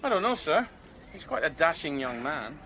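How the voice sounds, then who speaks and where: An animated, earnest police sergeant. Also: male voice, a little high, moderate pace, slight background noise. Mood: deferential. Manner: earnest, deferential. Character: polite, long-suffering male police sergeant, mildly exasperated. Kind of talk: British radio drama acting.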